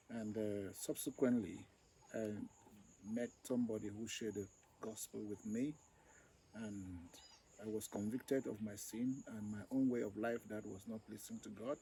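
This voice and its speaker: A man from Cameroon, a ministry director, telling his story slowly and deliberately in an interview. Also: on the low side, mellow, a little hiss or hum, poor audio. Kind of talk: interview testimony.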